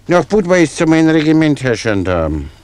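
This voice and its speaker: An actor playing a naive, genial, imperturbable soldier in a German radio play, guileless, unhurried and obliging. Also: male voice, on the high side, big pitch swings, a little mellow. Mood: placid, obliging.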